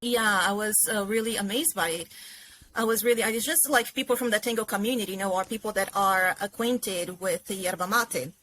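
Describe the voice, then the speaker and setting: A woman's voice: mid-range voice, brisk, a bit bright, poor audio. A woman from Brazil, a ceramist guest, chatting casually and filling her talk with "you know" and easy laughter. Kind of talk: casual interview chat.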